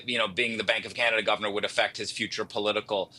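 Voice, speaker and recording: A man comments on politics in a fairly low but very sharp, bright voice. His conversational delivery is halting. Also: little pitch movement. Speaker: political panelist.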